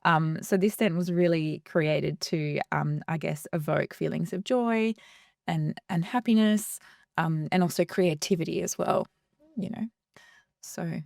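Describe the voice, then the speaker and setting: A woman, a perfumer, talking softly and hesitantly as a guest in a casual interview, with many fillers. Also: lowish voice, measured pace, vocal fry, no room sound, clean studio sound.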